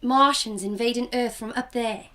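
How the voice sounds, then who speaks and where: A woman voicing a loud, excited little girl in a student radio drama. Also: fairly high pitch, normal speed. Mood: eager. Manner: eager, breathless, giggly.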